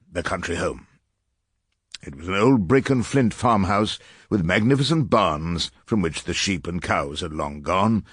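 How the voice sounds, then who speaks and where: A man's voice: lowish voice, husky, no room sound, clean studio sound. An actor playing an irascible, witty elderly English barrister in a radio drama, pompous and ornate in his phrasing as he talks and narrates. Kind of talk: radio drama acting and wry first-person narration.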